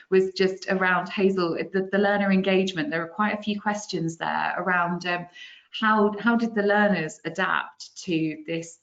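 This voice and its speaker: Low-pitched woman, webinar host, halting speech, muffled call audio. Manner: halting, many fillers. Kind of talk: moderating a webinar. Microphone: video call.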